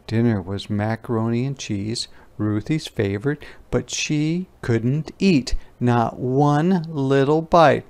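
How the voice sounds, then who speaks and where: A man narrating a children's picture book aloud in a deliberate, expressive storytelling style. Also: mid-range voice, clear, resonant tone.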